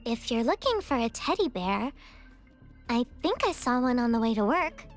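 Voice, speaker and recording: A woman's voice: a little high, sing-song, moderate pace, soft background music. A woman playing an earnest, literal-minded young female resort worker in a video game, speaking politely.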